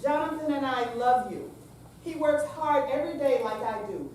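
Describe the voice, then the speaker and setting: A woman playing a patient, coaxing grown daughter in a staged reading, with deliberate, read-out delivery. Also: high voice, expressive, darkish tone. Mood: coaxing, earnest. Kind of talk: staged readers theater reading. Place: senior center room, staged live reading. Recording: very echoey hall, distant mic, faint noise.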